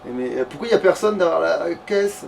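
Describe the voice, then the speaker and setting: A man's voice: a little high, lively intonation, fast, vocal fry, darkish tone. A man doing casual let's play commentary with crude, sarcastic banter. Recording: distant mic, faint background noise.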